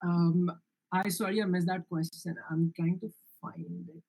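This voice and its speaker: A young man with an Indian accent presents his software project in a live online demo, answering questions earnestly with frequent restarts and fillers. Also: high-pitched, flat delivery, a little slow, creaky, poor audio. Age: young adult.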